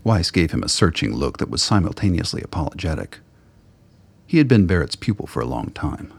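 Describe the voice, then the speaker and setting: A man narrating a radio drama as a grave, dry storyteller, with a gravelly, husky voice. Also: deep voice, sing-song, brisk, full character voice. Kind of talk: scripted narration.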